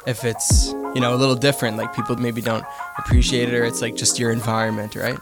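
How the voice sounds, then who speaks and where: A man's voice: medium pitch, little pitch movement, fast talker, over loud music. A man hosting a radio show, asking curious, conversational questions. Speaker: radio host. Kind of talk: radio interview. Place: radio studio.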